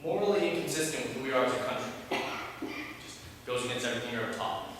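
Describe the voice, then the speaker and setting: A man's voice: mid-range voice, animated, fast talker, slight background noise, close to an everyday voice. A young man acting a male interrogator and translator in a stage reading, curt with a prisoner and reflective when speaking alone. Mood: remorseful. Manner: curt and hard, then quiet and reflective. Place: large hall, stage. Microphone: far room mic. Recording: echoey hall from far off.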